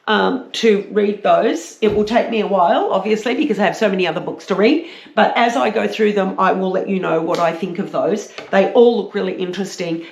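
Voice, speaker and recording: A woman with a croaky voice, chatting casually and a little hesitantly as a book reviewer. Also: medium pitch. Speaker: book reviewer, vlog host. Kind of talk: casual book chat with read-aloud blurbs. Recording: roomy, echoey sound.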